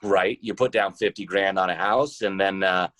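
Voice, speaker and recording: A man's voice: sits low, moderate pace, a bit bright, no echo. A man answering casually and conversationally as the guest in a podcast interview.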